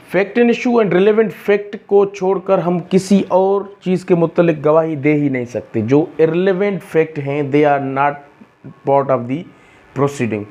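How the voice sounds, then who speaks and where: A man with a Pakistani accent lecturing on law, reading out provisions and explaining them deliberately, repeating key phrases as he goes. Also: a little high, a little mellow, lo-fi sound.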